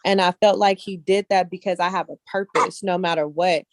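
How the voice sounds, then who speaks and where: A woman speaking casually as an interview guest, telling personal stories in an animated, earnest and colloquial way, often checking in with her listeners. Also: lowish voice, a little fast, no room sound. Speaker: guest, actress and casting director.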